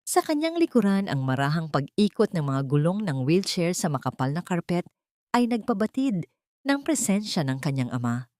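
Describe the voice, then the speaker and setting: A woman with a Filipino accent narrating a scripted dramatic story in a solemn, sentimental, measured way. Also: on the low side, sing-song, darkish tone, dry recording, clean studio sound.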